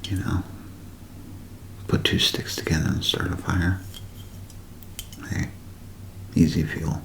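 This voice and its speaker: A man doing a whispered ASMR show-and-tell, speaking in a hushed, unhurried way with gentle pauses. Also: deep voice, flat delivery, gravelly. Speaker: ASMR creator.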